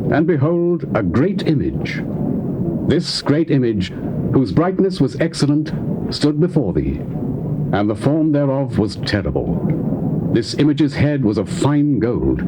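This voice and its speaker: A solemn male prophet in an audio scripture drama. His mid-pitched voice swings widely as he declaims with measured emphasis. Clear noise runs through the tape recording. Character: solemn male prophet interpreting a king's dream. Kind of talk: dramatized scripture reading, classical stage acting.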